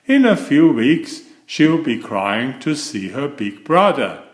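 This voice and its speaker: Male reader as father bear, storybook, calm, kindly, encouraging, unhurried, echoey room. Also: mid-range voice.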